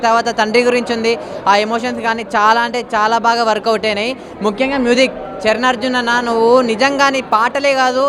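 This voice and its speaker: A speaker talks rapidly with little rise or fall in pitch. The delivery is an earnest, repetitive public reaction to camera, with a noticeable outdoor crowd around. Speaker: moviegoer giving a film review.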